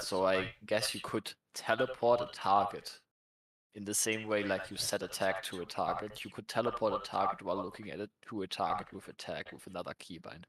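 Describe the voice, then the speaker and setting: A man's voice: sits low, fairly bright tone, echoey room, poor audio. A man with a German accent talking hesitantly, with many fillers, as a guest on a voice call. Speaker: guest on voice chat. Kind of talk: casual voice-call chat.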